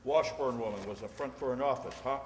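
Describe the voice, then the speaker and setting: An actor playing a seasoned, knowing, laconic narcotics inspector in a radio crime drama. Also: male voice, mid-range voice, fairly quick, darkish tone, a little hiss or hum, lightly acted. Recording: reverberant hall.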